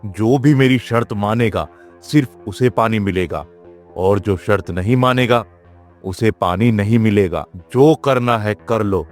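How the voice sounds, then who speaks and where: A man playing an authoritative village figure in a moral-story cartoon, speaking in a deliberate, declamatory way. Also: fairly low pitch, soft background music, lightly acted. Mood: menacing. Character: domineering landlord and earnest village headman in a cartoon.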